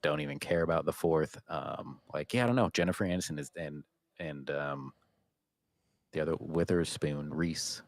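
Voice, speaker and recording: A man's voice: rumbling low, normal speed, no room sound, clean studio sound. A man co-hosting a podcast in casual, unscripted chat about what he watched, rambling and trailing off as he goes. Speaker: podcast co-host.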